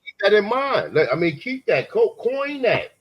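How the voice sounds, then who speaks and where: A man's voice: sits high, big pitch swings, normal speed, highs cut off. A man co-hosting a stream, ranting angrily and swearing heavily. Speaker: co-host. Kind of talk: heated profane commentary.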